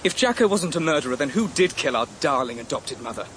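An actor in a radio drama playing a bitter, mocking adopted son who talks in a blunt, sardonic, goading way. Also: male voice, mid-range voice, sing-song, fast talker, a bit bright, a little hiss or hum. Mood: bitter, challenging. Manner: sardonic, colloquial, challenging.

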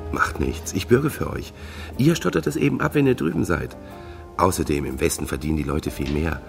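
A man's voice: very deep voice, expressive, a little fast, creaky, exaggerated voice. An actor in a radio crime drama playing a confident, reassuring man who sells secret escapes. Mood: persuasive, assured. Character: confident East German escape helper, a man. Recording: music behind the voice.

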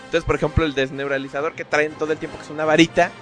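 Profane Mexican man, slightly high voice, music behind. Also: level tone, racing, fairly bright tone. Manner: slangy, profane, joking. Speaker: podcast co-host. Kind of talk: casual, crude film recap chat.

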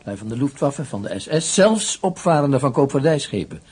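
An actor in a radio drama playing a confident military camp commandant, brisk and officious, with a husky voice. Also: male voice, medium pitch, sing-song, a little mellow. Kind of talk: radio play acting.

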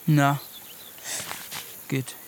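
A brooding, tough village boy in his teens. He speaks tersely and bluntly in a naturalistic radio play. The outdoor recording has crickets and noise around him. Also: very slow. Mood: guarded, gruff. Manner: terse, blunt, few words. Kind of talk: naturalistic German radio drama acting.

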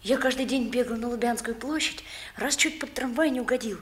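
An actress playing an earnest, eager young schoolboy in a 1960s radio drama, with a boyish delivery. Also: female voice, fairly high pitch, a little fast, lightly acted. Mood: eager, boastful.

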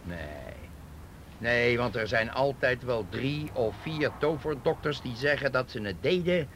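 A gruff police detective played by a male actor in a radio drama, speaking in a middle register. He is sardonic and scornful, over faint noise and outdoor traffic ambience. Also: mid-range voice, unhurried, a bit bright, lightly acted. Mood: sarcastic. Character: grumpy, sarcastic male police detective at an arson scene. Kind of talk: naturalistic Dutch radio play acting.

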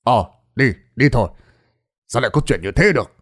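A man voicing an older village elder who asks worried, puzzled questions in a measured way. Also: medium pitch, lively intonation, close to an everyday voice.

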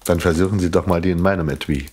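An actor playing a polite, probing police inspector in a German radio crime play, questioning with courteous insistence. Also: male voice, deep voice, steady tone, fast, a little mellow, breathy edge. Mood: brisk, polite.

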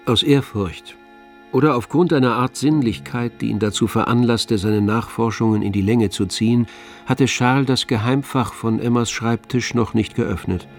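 A man's voice: mid-range voice, steady tone, normal speed, soft background music. A man narrating a literary radio drama from a script, as the storyteller.

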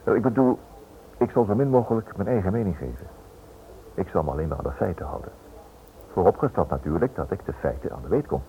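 Prying writer, radio drama, fast, polite, insinuating, persistent, very animated, light background noise. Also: male voice, low-pitched. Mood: insinuating, probing. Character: smooth, prying male writer probing a prisoner's wife. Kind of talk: radio play acting. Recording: noisy, dull old radio drama recording.

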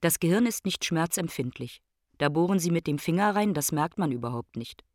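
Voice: mid-range voice, animated, fairly quick, darkish tone, no room sound, close to an everyday voice. Musing brain specialist, close and dry as acted. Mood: detached fascination. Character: Meier, brain specialist observing his own decline. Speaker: actor in a radio drama. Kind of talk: radio drama monologue.

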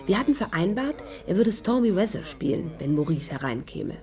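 A woman's voice: medium pitch, very animated, a little fast, a little mellow, faint music behind. An actress playing a shrewd young woman who narrates her adventure in a composed, wry way in a 1950s radio drama. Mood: wry, watchful. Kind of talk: scripted narration, 1950s German radio play.

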